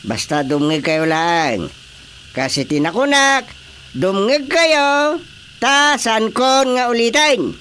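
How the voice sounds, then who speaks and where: An actor as an exasperated Ilocano village chairman in a radio comedy. He orders listeners about in a bright, forceful voice, with faint noise underneath. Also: very high voice, lively intonation, slow, exaggerated voice. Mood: exasperated, commanding. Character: bossy, exasperated village chairman addressing a crowd.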